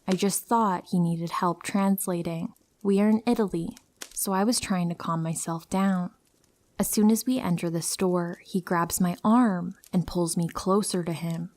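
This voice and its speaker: Low-voiced woman reading horror stories. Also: darkish tone. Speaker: horror story narrator.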